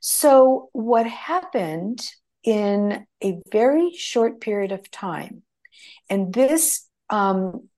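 A middle-aged woman whose first language was Greek tells her story in an unhurried, measured way. She wanders through her thoughts and stops to restart often. Her voice sits at an ordinary pitch. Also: expressive, dark tone. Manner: rambling, many restarts and fillers. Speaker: guest. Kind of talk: conversational storytelling.

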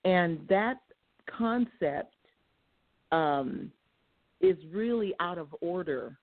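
A soft-spoken woman calling in, giving earnest, rambling commentary with many restarts. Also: lowish voice, animated, extremely slow, no echo. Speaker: caller. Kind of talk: call-in commentary. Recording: tinny phone line.

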